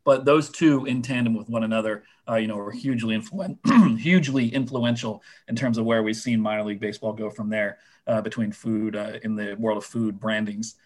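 A man whose voice is going hoarse, chatting casually on a sports podcast with lots of fillers and restarts. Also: on the low side, steady tone, a little fast, hardly any pauses. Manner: rambling, many fillers and restarts. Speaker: minor league baseball writer guest.

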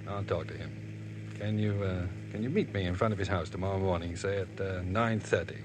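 A man playing a soft-spoken troubled priest. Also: deep voice, fairly quick, close to an everyday voice. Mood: reassuring. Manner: soft, hesitant, reassuring. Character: quiet, troubled young male priest. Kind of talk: 1950s radio drama acting. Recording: old radio broadcast with clear hum.

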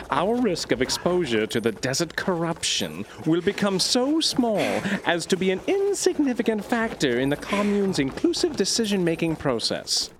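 An actor playing an earnest, obsessive scientist dictating research notes in formal, grandiose language for an audio drama. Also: male voice, high-pitched, slight background noise. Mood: earnest, hopeful. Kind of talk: audio drama monologue as journal narration.

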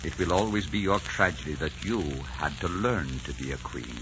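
An actor playing a brooding, world-weary adventurer in love, grave and ardent in 1940s radio style. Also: male voice, sits low, lively intonation, moderate pace. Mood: tender, sorrowful. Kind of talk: 1940s radio romance acting. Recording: dull, noisy 1940s radio recording.

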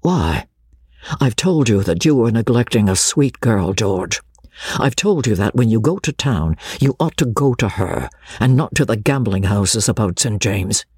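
Man playing earnest army captain, slightly husky. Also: fairly low pitch, expressive, a little slow, darkish tone, no echo, clean studio sound. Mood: earnest, reproachful. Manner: earnest, plain-spoken, chiding. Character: earnest, loyal male army captain, protective older friend. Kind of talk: dramatized storybook reading.

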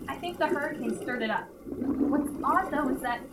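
Stubborn female marine scientist played by an actress, high-pitched, earnest, excitable, audio drama; distant mic with loud noise. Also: normal speed, close to an everyday voice. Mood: puzzled, explaining. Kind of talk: amateur audio drama acting.

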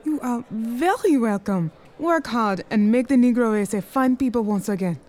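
A woman playing a proud, commanding businesswoman in 1920s Harlem, imperious and cutting, speaking with slow, deliberate weight. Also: a little high, expressive, a little mellow, lightly acted. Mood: haughty commanding. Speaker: lead character. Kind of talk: 1920s period drama acting.

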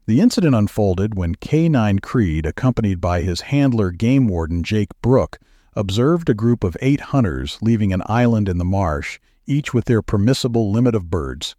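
A man reading news stories as a podcast producer, with a measured, even newsreader delivery. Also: fairly low pitch, no room sound, studio quality.

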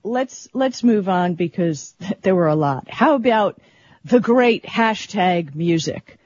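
A woman co-hosts a casual tech talk show. She is upbeat, laughs often, and keeps the conversation moving in a medium-pitched voice. The sound is a bit dull and lo-fi. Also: animated, takes it slowly, darkish tone.

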